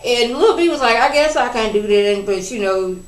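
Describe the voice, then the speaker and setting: A woman's voice: fairly high pitch, moderate pace. Chatty woman recapping a show, roomy sound. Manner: chatty, animated, quoting people in character. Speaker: reality TV recap vlogger. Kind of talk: casual episode recap. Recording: roomy, distant from the mic.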